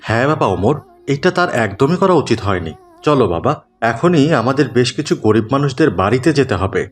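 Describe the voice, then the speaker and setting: Man playing a devout cartoon dad, medium-pitched male voice, earnest, moralizing, principled. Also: sing-song, fairly quick. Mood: earnest, disapproving. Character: pious, principled father instructing his son. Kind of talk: cartoon dialogue acting.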